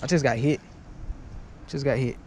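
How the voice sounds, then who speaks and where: A man's voice: mid-range voice, moderate pace, a little mellow, a little hiss or hum. A young man talking casually and in slang as he narrates his own fishing vlog. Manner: slangy, informal. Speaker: fishing vlogger. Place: outdoors in a small boat on a lake. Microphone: body-worn action camera.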